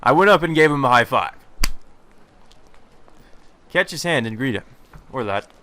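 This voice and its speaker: A man's voice: medium pitch, lively intonation, normal speed, sharp tone, a little hiss or hum. A man hosting a let's play, reading visual novel text aloud with dramatized lines and casual asides. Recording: game music behind the voice. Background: visual novel game music.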